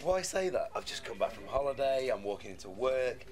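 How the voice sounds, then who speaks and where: A man's voice: mid-range voice, sing-song, a little fast, lightly acted. An actor playing an anxious, irritable young British office worker voicing his rambling, self-questioning inner monologue in an audio drama. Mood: brooding, irritated.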